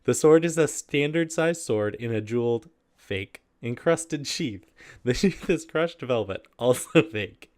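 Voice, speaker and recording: A man's voice: medium pitch, lively intonation, unhurried, darkish tone. A man casually hosting a video and reading humorous letters aloud, easily amused and prone to giggling. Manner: giggly, often breaking into laughter while reading.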